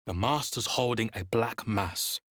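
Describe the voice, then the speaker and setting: A man's voice: lowish voice, a little slow, fairly bright tone, no echo, studio quality. An actor voicing a scornful, bitter male vampire spawn in a dramatic video game style, sneering in clipped sentences. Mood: grimly insistent.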